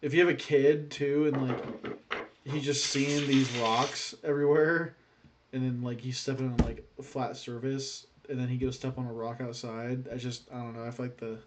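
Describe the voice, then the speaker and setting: A man's voice: medium pitch, flat delivery, moderate pace. A man reacts to clips in casual slang, blunt and joking. His voice sits in an ordinary middle range. Faint noise runs under his talk. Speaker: reaction video host.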